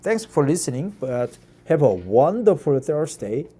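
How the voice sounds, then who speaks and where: A man in his fifties from Korea, with a Korean accent, reading an article aloud and commenting, halting with many fillers and repeated "right?"s. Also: on the high side, very animated, dark tone, husky. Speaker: YouTube reader of articles.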